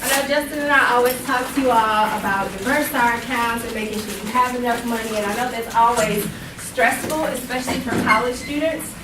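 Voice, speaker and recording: A woman with a fairly high voice politely introduces a guest speaker to students in a lecture room. She was recorded by a camera far away, so her voice is echoey and there is a lot of noise behind it. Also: fairly quick. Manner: friendly and polite. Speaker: student program coordinator.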